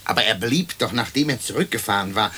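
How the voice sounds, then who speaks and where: An actor playing a prying, observant ghost in a German radio play. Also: male voice, lowish voice, expressive, fast, bright, forceful tone, slight background noise. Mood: inquisitive.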